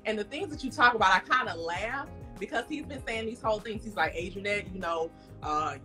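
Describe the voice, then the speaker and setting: A woman's voice: mid-range voice, expressive, a little fast, bright, forceful tone, faint music behind. A woman caller on an advice show, earnestly explaining her views. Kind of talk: call-in conversation.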